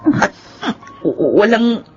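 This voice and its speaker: An actress playing an emotional, remorseful middle-aged sister in a Filipino radio drama, speaking in a melodramatic, tearful manner. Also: female voice, medium pitch, very animated, slow, warm tone. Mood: tender, remorseful. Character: emotional, remorseful woman, long-estranged sister of Feli. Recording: muffled radio drama, faint music.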